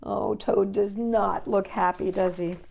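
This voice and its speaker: A woman's voice: mid-range voice, steady tone, measured pace, creaky, a little mellow, rough recording. A woman hosting a children's storytime, reading a picture book aloud with playful, chatty asides to young viewers.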